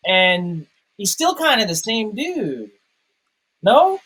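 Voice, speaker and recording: A man's voice: high voice, sing-song, slow, fairly bright tone, husky. A man talking casually as a film review co-host, explaining his points and often checking in with listeners. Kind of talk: casual film analysis chat.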